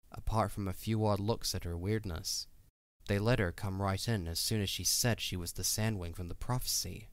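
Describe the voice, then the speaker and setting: A man's voice: low-pitched, no echo. A man narrating a scripted fantasy audio drama as its storyteller. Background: music.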